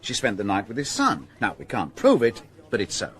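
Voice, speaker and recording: An actor with a British accent playing a dogged, exasperated French police detective in a radio drama, his voice slightly husky. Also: lowish voice, huge pitch swings, brisk. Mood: indignant, frustrated. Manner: hesitant musing breaking into indignant outbursts.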